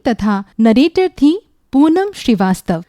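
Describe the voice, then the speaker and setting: A woman's voice: high voice, sing-song, slow, dark tone, dry recording, studio quality, soft background music. A woman radio announcer reading a scripted presentation in formal, literary diction.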